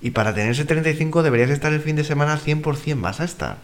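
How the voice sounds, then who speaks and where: A man's voice: on the high side, expressive, rapid-fire. A man from giving casual, emphatic advice as a radio contributor, bluntly repeating his points. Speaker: financial advisor, radio show contributor. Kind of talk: casual advisory talk. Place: radio studio.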